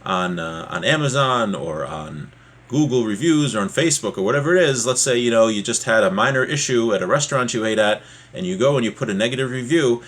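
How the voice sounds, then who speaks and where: A man's voice: mid-range voice, expressive, fairly quick, a bit bright. Man teaching an informal religious class. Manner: plain-spoken, conversational, explanatory asides. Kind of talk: informal lesson, reading a text aloud and explaining it.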